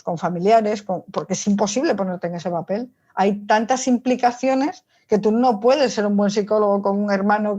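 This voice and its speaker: A woman's voice: a little high, dark tone. A woman psychologist answering as an interview guest, talking informally and emphatically, often with rhetorical questions. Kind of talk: conversational interview, explaining opinions. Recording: dull lo-fi audio.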